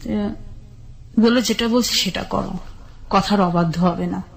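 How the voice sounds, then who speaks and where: A woman's voice: medium pitch, measured pace, creaky. Bangladeshi woman recounting her life story. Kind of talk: personal storytelling in an interview.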